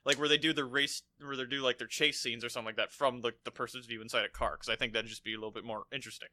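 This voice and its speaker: A man's voice: medium pitch, steady tone, rapid-fire, pushed and bright. A man co-hosting a podcast, talking about films in an informal, bantering, sweary way. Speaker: podcast co-host. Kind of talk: casual film discussion chat.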